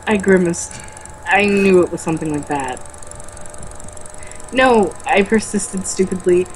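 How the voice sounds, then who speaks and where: A woman's voice: on the low side, measured pace, slight background noise, low-quality recording. A woman reading a book aloud as a co-host, mocking it with sarcastic, exasperated comments.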